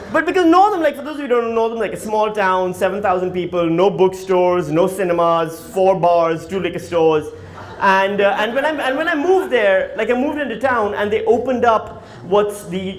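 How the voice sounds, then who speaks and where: A man, a stand-up comedian telling his story in a conversational, wry way with dry punchlines. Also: high voice. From Pakistan. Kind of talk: documentary interview and stand-up set.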